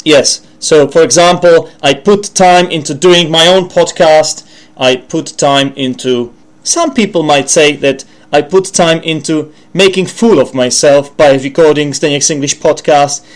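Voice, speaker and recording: A man with a fairly high voice and a Czech accent presents an informal English lesson for a podcast. He talks in a rambling way, corrects himself as he goes, and slips in asides and little questions to the listener. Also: unhurried. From Czech Republic. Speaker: language podcast host and teacher.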